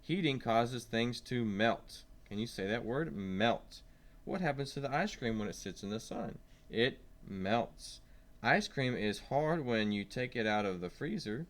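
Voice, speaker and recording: Guy teacher reading to little kids, goes real slow, voice swoops up and down, asks easy questions. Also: mid-range voice, lively intonation. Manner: simple questions and prompts to the listener. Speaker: teacher of young children. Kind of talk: read-aloud science lesson for young children.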